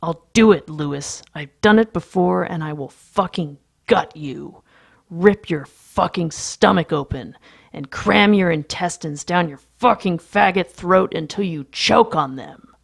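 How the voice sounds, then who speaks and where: Audiobook villain, female performer: menacing, exasperated man, low and very gravelly, vicious temper under a cold surface. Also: slow pace, pauses often, dry recording, clean studio sound, full character voice. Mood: furious snarling. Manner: threatening, hissing whispers to forceful snarls.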